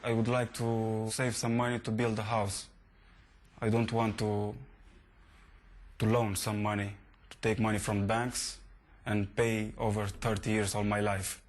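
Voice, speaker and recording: Man speaking in a flat, even tone. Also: lowish voice, unhurried. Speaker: interviewee in a report. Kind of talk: recorded report clip.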